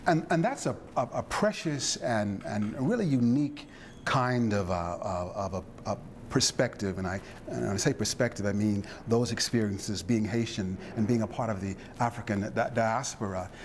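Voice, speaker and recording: A low-pitched man leads a reflective interview, weighing his words and pausing often to restart his sentences. A faint hiss sits under the recording. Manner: thoughtful, halting, many restarts and fillers.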